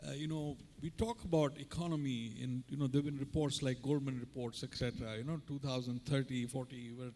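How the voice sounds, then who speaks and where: A man moderates a conference panel, introducing speakers and posing questions. He stops and restarts often, with plenty of fillers. A long hall reverb rings around his medium-pitched voice. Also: steady tone, a little mellow, slight background noise. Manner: halting, many fillers and restarts.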